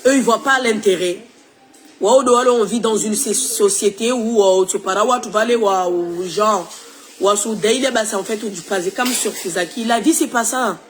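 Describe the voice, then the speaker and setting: A woman's voice: medium pitch, normal speed, a little hiss or hum. A woman, recovering from flu, talking casually and opinionatedly to her followers while switching between languages. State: recovering from flu, tired-sounding. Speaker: social media creator. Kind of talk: casual talk to followers, mixing in Shimaore.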